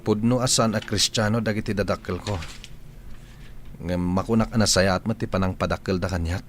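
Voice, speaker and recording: Low-voiced, earnest Filipino young suitor played by a man. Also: fairly even intonation, brisk, soft background music, lightly acted. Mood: troubled. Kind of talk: Ilocano comedy radio drama acting.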